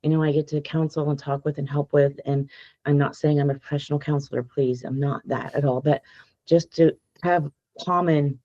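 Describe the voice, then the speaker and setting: A hoarse woman talks earnestly and with emphasis, her voice mellow and dark in tone. The recording is dry and a little dull, with a lo-fi edge. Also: low-pitched, fairly even intonation, moderate pace, no room sound. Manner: earnest, emphatic, speaks from faith. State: hoarse from allergies. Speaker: guest author. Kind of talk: podcast interview.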